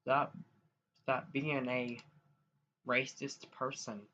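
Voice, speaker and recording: A man's voice: mid-range voice, little pitch movement, drawn-out words, sharp tone. A young man from the United States talks casually to his viewers while sharing his screen, rambling and restarting as he goes. He keeps calling them y'all. His voice comes through a dull, lo-fi mic with faint hiss behind it. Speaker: YouTuber. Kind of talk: casual screen-share vlog talk.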